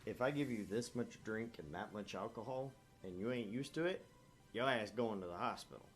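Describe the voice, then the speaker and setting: A man's voice: on the low side, moderate pace, soft background music. A man co-hosting a gaming playthrough with casual, joking chat. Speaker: gaming channel co-host.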